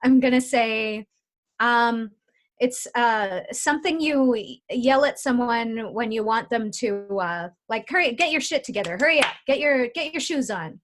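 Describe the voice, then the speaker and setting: A woman improvises casual jokes on a video-call game show. Her voice sits a little high and swoops about with lots of expression. She sounds playful, thinking aloud. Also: lively intonation, normal speed. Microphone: video call microphone.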